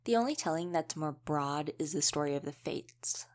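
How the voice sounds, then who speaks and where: Woman acting as easygoing young female wolf shifter, slightly low pitch, informal, conversational, explanatory, audio roleplay. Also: lively intonation, normal speed. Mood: relaxed explaining. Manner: chatty, informal, explanatory, with trailing questions.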